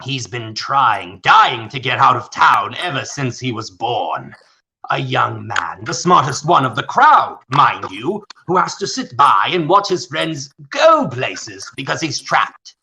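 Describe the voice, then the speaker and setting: A man acting as a rich, condescending, scheming old tycoon in a radio play, with a gravelly, theatrical delivery. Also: medium pitch, very animated, slow, pushed and bright, exaggerated voice, rough recording. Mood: smug, persuasive.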